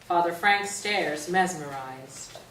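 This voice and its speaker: A woman's voice: lowish voice, takes it slowly, a bit bright. A woman narrator reading stage directions aloud at a staged reading. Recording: echoey stage, distant mic, noisy room.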